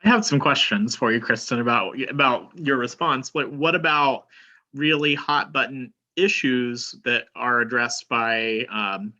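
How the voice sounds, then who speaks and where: A man's voice: mid-range voice, unhurried, pushed and bright. A man putting questions to a colleague in an informal online discussion, heard over lo-fi call audio. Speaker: webinar participant asking questions.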